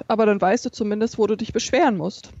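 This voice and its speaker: A podcast co-host in casual chat, supportive and quick to correct details. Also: medium pitch, darkish tone, no room sound, dull audio.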